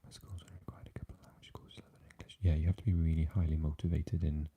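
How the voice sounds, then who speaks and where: A man with a Swansea Welsh accent, chatting softly with live viewers and often dropping into an unvoiced whisper. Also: little pitch movement, rapid-fire, breathy edge, lo-fi sound. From Wales. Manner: mixes unvoiced whispering with low, deep speaking. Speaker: ASMR live streamer reading chat. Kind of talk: soft-spoken live chat with viewers.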